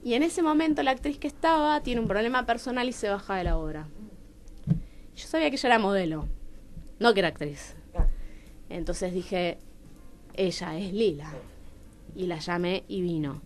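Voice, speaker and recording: An Argentine woman with a Rioplatense accent, a talkative and expressive storyteller in conversation who acts out remembered dialogue. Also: mid-range voice, moderate pace, long pauses. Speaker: playwright, radio show guest. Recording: music behind the voice. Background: music.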